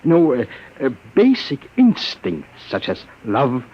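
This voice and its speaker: An actor playing a nervous, secretive elderly professor and inventor, stammering and fussy, in 1950s radio drama style. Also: male voice, medium pitch, huge pitch swings, slow, breathy edge, exaggerated voice. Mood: proud. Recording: dull old radio broadcast with hiss.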